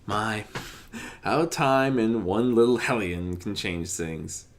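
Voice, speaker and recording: A man voicing a calm, gentle, fatherly armored god of order, soft-spoken and courteous, in a storybook reading. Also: fairly low pitch, slow, a little hiss or hum. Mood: reflective, fond. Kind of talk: fan fiction audiobook character reading.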